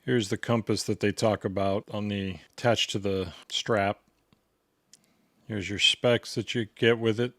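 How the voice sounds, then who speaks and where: A man's voice: lowish voice, fairly even intonation, a little slow, a bit bright, dry recording, clean studio sound. A man giving a casual hands-on product tutorial in a plain, step-by-step instructional way. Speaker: product reviewer demonstrating a gadget.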